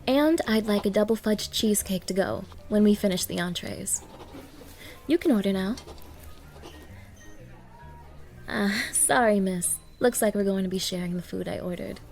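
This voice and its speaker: A woman's voice: medium pitch, normal speed, gravelly, darkish tone, faint music behind, lightly acted. A woman playing a haughty, demanding young gold digger on a date, scolding and condescending in an audio roleplay. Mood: breezy, polite. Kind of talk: ASMR-style dramatic roleplay.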